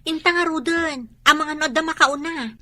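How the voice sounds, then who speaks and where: Young country friend, Ilocano accent, high pitch, bright sharp tone, chatty, radio drama. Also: female voice, normal speed, no room sound.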